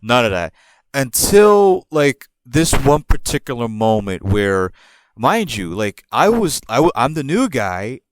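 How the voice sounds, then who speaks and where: A man with a New York accent hosts a podcast, telling his story in a loose, rambling, profane way. His voice sits at an ordinary middle pitch and sounds close and dry, with no room around it. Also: unhurried, dry recording. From born in Puerto Rico, raised in the New York area. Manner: rambling, profane, animated retelling. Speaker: podcast host. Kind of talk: casual storytelling.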